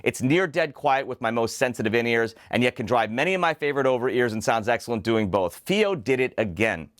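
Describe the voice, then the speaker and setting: A man's voice: mid-range voice, lively intonation, brisk, a bit bright, dry recording, studio quality. A man hosting a product review show, presenting scripted material to camera in an articulate, enthusiastic way. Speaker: host of a headphone review show.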